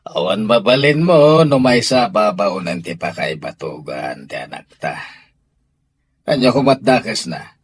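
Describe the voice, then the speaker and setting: A man acts out a middle-aged father in an Ilocano radio drama, low and husky. His delivery is firm and reasoning, with lively swings in pitch. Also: a little slow, no room sound.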